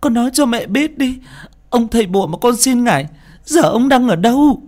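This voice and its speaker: A grief-stricken old mother, as a man puts her on, cries and begs in a high, cracking voice that swoops all over the place. The pitch leaps far above the storyteller's own voice. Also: lively intonation, moderate pace, darkish tone. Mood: pleading. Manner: wailing, pleading, high strained voice. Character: desperate weeping old mother.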